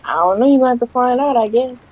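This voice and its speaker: A young woman from South Carolina chatting over an online voice call while gaming, relaxed and a little guarded. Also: on the high side, very fast, narrow, tinny audio, a little hiss or hum. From South Carolina, USA. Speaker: guest gamer. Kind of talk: casual chat over voice call.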